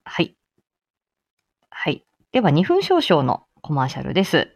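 A woman's voice: sits low, wildly swooping pitch, normal speed, husky. A woman hosting a casual morning livestream, chatty, flustered and giggly. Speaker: livestream host. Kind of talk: casual morning live chat.

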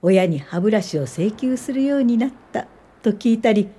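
An actress playing a gentle older social worker, narrating her memories in a measured radio drama style. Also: on the high side, animated, breathy edge. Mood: reflective. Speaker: narrator and lead character.

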